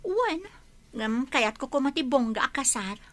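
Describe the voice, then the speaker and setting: High, bright, spoiled young Ilocano daughter played by a woman. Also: expressive, unhurried. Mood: insistent. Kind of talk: Ilocano radio drama acting.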